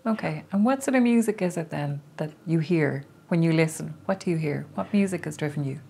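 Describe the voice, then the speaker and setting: Woman, lowish voice, interviewer, direct questions. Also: a little fast, gravelly, mellow, slight background noise.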